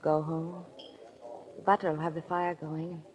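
Low-voiced seductive radio heiress. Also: female voice, level tone, unhurried, rough recording. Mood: romantic, inviting. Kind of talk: 1940s radio drama acting. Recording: vintage 1940s radio recording.

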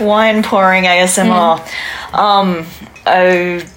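A woman with an Australian accent, a podcast guest talking in a relaxed, casual way. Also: lowish voice, slow. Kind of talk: casual conversation. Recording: noisy recording.

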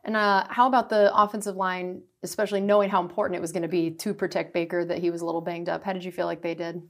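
A woman's voice: on the low side, little pitch movement, quick pace. A young woman, a team reporter hosting a radio show, asking long, wordy questions with fillers.

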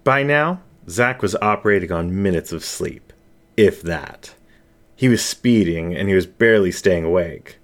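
A man narrating a scripted story in a dramatic, suspenseful and expressive way. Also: sits low, measured pace. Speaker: story reader.

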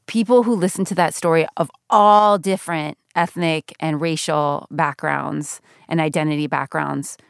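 A low-pitched middle-aged woman with a gravelly edge that still sounds smooth and resonant. She talks candidly and reflectively as an interview guest, laughing easily. She is close to a studio mic in a dry room. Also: steady tone, normal speed, few pauses, dry recording. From United States. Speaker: guest, radio journalist. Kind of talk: conversational interview.

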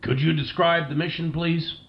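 A man's voice: fairly high pitch, fairly even intonation, normal speed, vocal fry. A man playing a formal, composed scientist conducting a debriefing in an audio drama, polite and clinical, on a slightly dull recording. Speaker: interviewer in an audio play.

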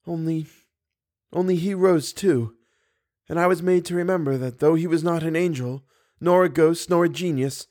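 A man's voice: fairly high pitch, moderate pace, darkish tone, pitched above the performer's own voice, lightly acted. A man reading an audiobook, voicing a frightened, earnest and passionate young woman. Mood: impassioned, horrified. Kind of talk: audiobook character dialogue.

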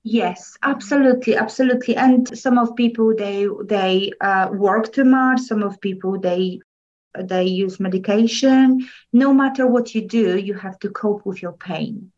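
A woman from Poland with a Polish accent, a podcast guest speaking slowly and searching for her words in English. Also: mid-range voice, a little mellow, highs cut off. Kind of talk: interview conversation.

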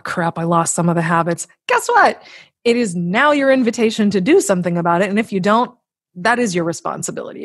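A woman's voice: a little high, no room sound. Emphatic woman giving motivational advice, close dry sound. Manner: emphatic, blunt, casually sweary. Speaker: podcast guest, author and coach. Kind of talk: conversational motivational advice with acted-out examples.

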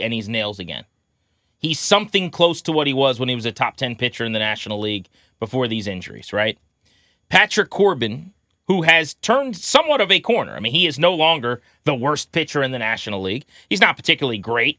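A man hosting a sports podcast with conversational commentary and deliberate, emphatic phrasing. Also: mid-range voice, bright, forceful tone, no echo, studio quality.

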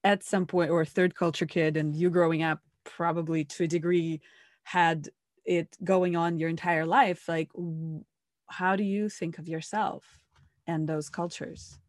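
A woman's voice: fairly low pitch, level tone, measured pace, no room sound. Woman host with a dry, close sound. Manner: engaged, probing follow-up questions. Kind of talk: interview conversation.

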